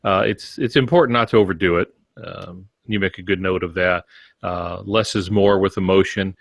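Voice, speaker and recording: Older American male co-host, lowish pitch, measured explanatory talk, close dry recording. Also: fairly even intonation, gravelly, dry recording. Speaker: podcast co-host. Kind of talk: conversational writing advice.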